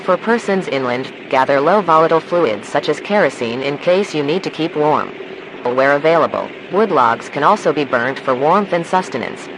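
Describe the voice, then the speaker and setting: A man playing a calm, formal emergency broadcast announcer, reading measured disaster instructions in a scripted alert. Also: on the high side, noisy background. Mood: grave, instructive.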